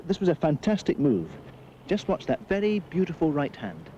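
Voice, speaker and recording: An actor playing a smug thief who talks like a relishing, emphatic sports pundit. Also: male voice, a little high, huge pitch swings, moderate pace, mellow, faint music behind. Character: smug expert bag-snatcher as sports pundit. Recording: muffled old film soundtrack, faint noise.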